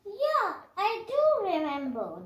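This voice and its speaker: A woman's voice: extremely high pitch, huge pitch swings, heavily acted. A woman voicing a childlike, sulky but eager young pupil in a children's puppet show. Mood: eager, reciting. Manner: very slow, childlike, singsong. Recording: echoey room.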